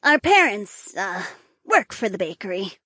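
A frank, curious young lynx boy voiced by a woman with a husky edge. He speaks in a direct, boyish way in a dry fantasy audiobook recording. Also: medium pitch, sing-song, unhurried, bright, forceful tone, dry recording, full character voice. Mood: introducing himself.

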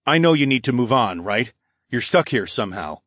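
A man voicing a bold, gruff male hero in a fantasy audiobook, growling and brash. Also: medium pitch, big pitch swings, brisk, a bit bright, no room sound, clean studio sound. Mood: firm. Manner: growling, brash, casual swearing.

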